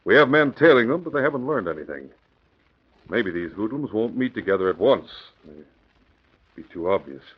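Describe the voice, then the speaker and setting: Gruff middle-aged police chief, low husky voice, old radio show. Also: male voice, very animated, moderate pace. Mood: frustrated. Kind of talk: 1940s radio acting. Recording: muffled old 1940s radio recording.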